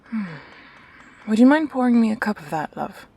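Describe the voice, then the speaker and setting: A woman playing a calm, wry, world-weary doctor and scientist in an audio drama, speaking in a dry, measured manner. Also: fairly high pitch, vocal fry, slightly rough, heavily acted. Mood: dry, composed.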